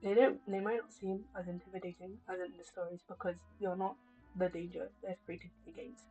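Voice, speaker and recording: A woman's voice: fairly low pitch, level tone, quick pace, dark tone, faint music behind, rough recording. A woman streaming a game, chatting casually in a rambling, joking way.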